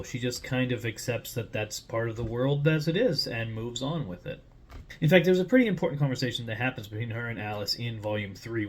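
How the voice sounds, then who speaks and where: A man speaking casually and informally, explaining things in a conversational monologue as a writer and host. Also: mid-range voice. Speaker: writer and host introducing a story character.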